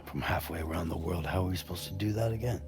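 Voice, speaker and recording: Gruff laconic dad adventurer in a game cutscene, deep voice, quick terse talk. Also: male voice, music in the background. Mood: skeptical. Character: gruff, laconic, reluctant male adventurer and father. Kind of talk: video game cutscene acting.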